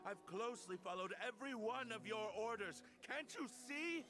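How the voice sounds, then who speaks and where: Anguished accused, high and impassioned. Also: male voice, animated, moderate pace, music in the background. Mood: pleading. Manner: impassioned, dramatic. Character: anguished accused man pleading with his judge. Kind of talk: video game voice acting.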